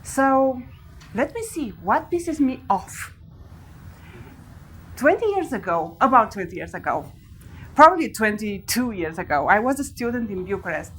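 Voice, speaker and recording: A woman's voice: a little high, unhurried, pauses often, slightly rough. Laughing Romanian-accented woman speaker on stage. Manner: animated storytelling, laughs often. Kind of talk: live talk to an audience. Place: large event hall with an audience.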